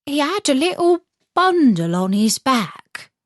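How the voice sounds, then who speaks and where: A woman plays a friendly gentleman crow from a storybook, gossipy and courteous. The bird explains things slowly and carefully, with a pitch that rises and dips in lively sweeps. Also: no echo, studio quality, full character voice. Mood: informative, chatty. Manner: measured, explanatory, gossipy telling.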